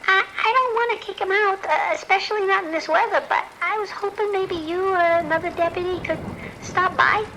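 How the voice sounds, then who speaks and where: A woman's voice: very high voice, fairly quick, background noise. A woman playing a worried, kind-hearted hotel worker in an audio drama, hesitant and familiar in her pleading. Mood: worried, sympathetic. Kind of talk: naturalistic audio drama acting.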